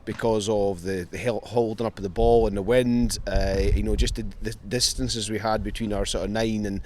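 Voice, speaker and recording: A man, a rugby coach, talking casually and haltingly in a post-match interview. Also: on the low side, steady tone, a little mellow. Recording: faint outdoor background noise.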